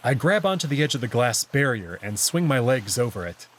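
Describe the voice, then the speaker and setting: A man reads a visual novel aloud in first person. His voice is mid-pitched and husky, and his narration is expressive, with lively movement in pitch. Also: brisk.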